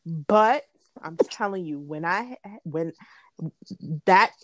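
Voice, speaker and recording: A woman's voice: fairly low pitch, lively intonation, takes it slowly, no room sound. A woman, co-hosting a casual chat, frank and pressing with her questions. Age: late 20s. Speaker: podcast co-host.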